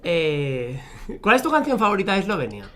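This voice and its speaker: A man's voice: sits high, big pitch swings, brisk, fairly bright tone. A man hosting an entertainment show, chatting in an upbeat, talkative way. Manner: chatty, upbeat, laughs often. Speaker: host. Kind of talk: casual entertainment chat.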